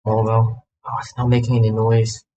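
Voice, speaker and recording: A man's voice: mid-range voice, completely flat delivery, moderate pace, dark tone, muffled recording, slight background noise. A man livestreaming a game, chatting casually in a scattered way, often repeating himself and cutting himself off. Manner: scattered, self-interrupting, repeats phrases. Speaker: livestreamer, gamer VTuber. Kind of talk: casual livestream chat while setting up a game.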